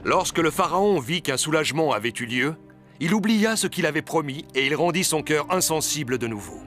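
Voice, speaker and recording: A man's voice: fairly high pitch, lively intonation, brisk, a bit bright. A man reading scripted narration of a biblical story as narrator. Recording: music under the voice.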